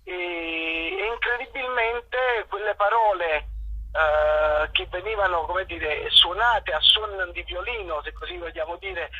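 A man from Naples, an actor, talking on the phone in a thoughtful way and often pausing to search for words. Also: high voice, bright, forceful tone, husky. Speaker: actor guest. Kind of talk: phone interview. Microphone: telephone. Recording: muffled phone line.

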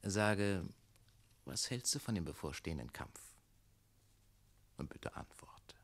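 An insistent young secretary, the mayor's son, in a radio drama. His voice sits fairly low, and he speaks in a measured, coaxing way, probing as he goes. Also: very animated, gravelly, breathy edge, full character voice. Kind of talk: German radio play acting.

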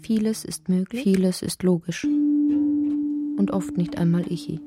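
A woman playing a whimsical narrator in a literary radio play, with a husky voice. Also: fairly low pitch, fairly even intonation, normal speed, over loud music, close to an everyday voice. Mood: wistful, searching.

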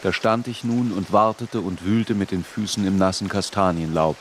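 A man's voice: sits low, fairly even intonation, normal speed, a little hiss or hum, natural voice. A man playing an adult narrator in a radio play, looking back on a tormented boyhood in literary, introspective narration. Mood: melancholy, reflective.

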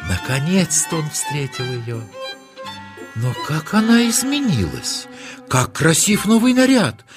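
A man narrating a scripted children's fairy tale as a storyteller, in an expressive, dramatic way. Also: a little high, slow. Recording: music behind the narration.

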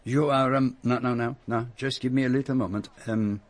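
An actor playing a courteous, shrewd Belgian detective with a French-speaking Belgian accent, formal and precise in a radio drama. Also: male voice, medium pitch, steady tone, lightly acted. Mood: courteous, playful. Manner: measured, formal, precise phrasing. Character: courteous, shrewd Belgian private detective, middle-aged or older.

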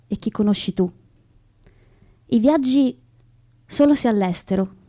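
A woman giving a measured literary reading of a poem. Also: fairly high pitch, dark tone, dry recording. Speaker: poetry reader.

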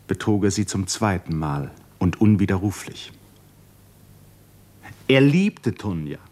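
A male narrator with a fairly low voice delivers literary prose for a 1950s radio drama. He is solemn and articulate, letting dramatic pauses shape the telling.

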